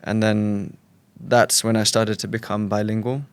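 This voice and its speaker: A man's voice: fairly low pitch, flat delivery, normal speed, clean tone, dry recording. A man in his thirties with a British accent, a guest chatting casually and often restarting his sentences. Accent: British English. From Thailand. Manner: rambling, with frequent restarts and repeated words. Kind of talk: casual interview chat.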